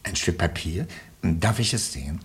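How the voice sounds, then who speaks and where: An actor in a German radio play, playing a formal, officious bank official who speaks with stiff, wordy politeness. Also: male voice, low voice, expressive, normal speed, a little hiss or hum. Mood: cool, correct.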